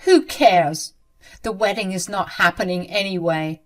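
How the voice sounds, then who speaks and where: A woman's voice: mid-range voice, unhurried, close to an everyday voice. A woman voicing a young bride who is protective and indignant, speaking emphatically. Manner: emphatic and outraged.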